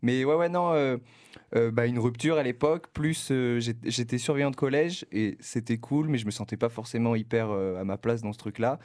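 A man's voice: medium pitch, moderate pace, darkish tone. A man, a stand-up comedian chatting as a guest with frequent laughs and hesitations. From southeastern France, Var. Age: 20s. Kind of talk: casual interview chat.

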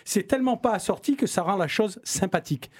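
Playful higher-voiced French show host. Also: male voice, animated, fairly quick. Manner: playful, teasing, with frequent fillers. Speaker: podcast host. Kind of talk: hosting a comedy talk show.

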